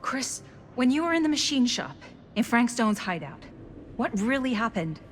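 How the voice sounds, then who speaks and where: A woman's voice: a little high, sing-song, normal speed, a little hiss or hum. An actress voicing a practical, inquisitive young woman in a cinematic horror game, heard in a reverberant space. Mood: probing.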